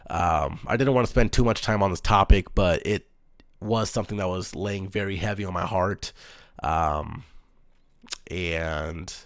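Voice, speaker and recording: A man hosting his own sports talk show, speaking in a calm, earnest monotone with frequent pauses and "um"s. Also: sits low, gravelly, no echo, clean studio sound. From United States. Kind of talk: solo sports commentary.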